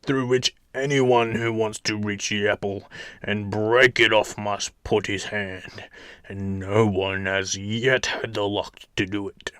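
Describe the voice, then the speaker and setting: A man voicing a huge storybook giant, gruff and commanding, with loud, drawn-out, emphatic delivery. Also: on the low side, level tone, no echo, clean studio sound. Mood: warning.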